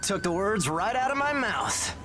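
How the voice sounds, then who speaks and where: A man's voice: high voice, very animated, a little slow. A man playing a brash, friendly young fighter in a video game, chatty, teasing and boastful. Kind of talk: video game cutscene voice acting. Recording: music behind the voice.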